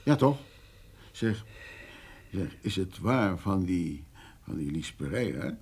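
An actor playing a wheedling, self-important farmer in a radio drama, with a husky voice and a placating, insinuating manner. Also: male voice, deep voice, sing-song, takes it slowly, darkish tone, full character voice. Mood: gossipy, insinuating. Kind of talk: naturalistic radio drama acting.

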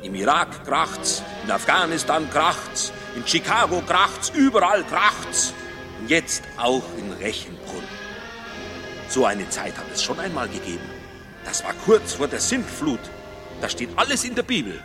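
An actor playing a fiery, scolding Bavarian village priest who preaches doom in a radio drama. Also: male voice, a little high, very animated, unhurried. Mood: ominous. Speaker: pastor. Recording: music behind the voice.

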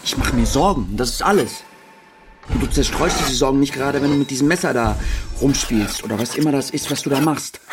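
A man's voice: medium pitch, brisk, over music, natural voice. An actor playing a worried, earnest male writer in a German radio play, with a hesitant, searching and pleading delivery.

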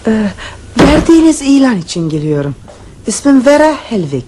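A woman's voice: on the high side, big pitch swings, moderate pace, noisy background. A polite young lady. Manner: polite, composed.

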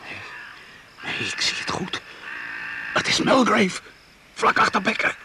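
An actor playing a middle-aged, dogged and fatherly doctor in a radio drama, intense and gravelly. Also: sits high, wildly swooping pitch, measured pace, full character voice. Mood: incredulous. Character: dogged, vengeful older male doctor, fatherly underneath. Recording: noisy old radio play recording.

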